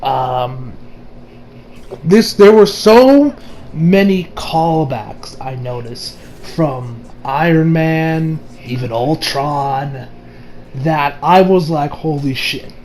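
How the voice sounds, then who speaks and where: A man's voice: on the high side, lively intonation, drawn-out words, darkish tone, a little hiss or hum. A man giving a casual, unscripted movie review in an informal, emphatic way with plenty of swearing. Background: background music.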